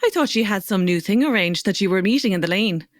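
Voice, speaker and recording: A woman's voice: medium pitch, lively intonation, fast talker, no echo, clean studio sound, close to an everyday voice. An actress playing a kindly, concerned Irish mother figure, soothing in manner, in a children's audio drama. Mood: reassuring.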